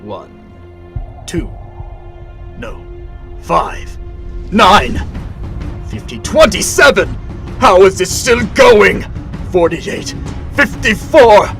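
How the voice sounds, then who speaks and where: A man playing a proud, haughty leader of a fire people in an animated fantasy, theatrical in delivery. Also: high voice, extremely slow, long pauses, faint music behind, heavily acted. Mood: stunned.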